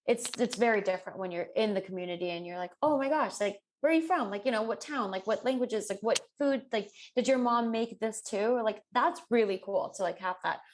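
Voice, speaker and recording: Female podcast co-host, mid-pitched, animated storyteller who voices the people she quotes. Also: brisk, hardly any pauses, a little hiss or hum. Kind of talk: casual podcast chat.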